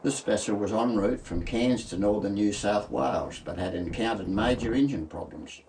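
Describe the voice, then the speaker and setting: Australian man, lowish voice, scripted narration, coast guard report, noisy background. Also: moderate pace, darkish tone. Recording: clearly noisy voice-over track.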